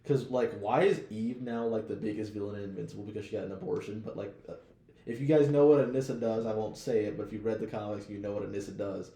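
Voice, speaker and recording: Young male podcast co-host, gravelly and mellow, casual slang and swearing, roomy sound. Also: lowish voice, steady tone, fast. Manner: slangy, profane.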